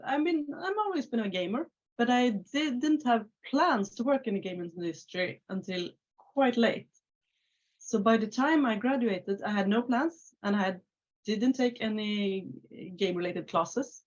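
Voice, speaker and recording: A Swedish woman in her thirties with a Swedish accent, talking casually as an interview guest over a video call, with many filler sounds and restarts. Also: mid-range voice. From Sweden. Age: 30s. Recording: slightly muffled video call audio.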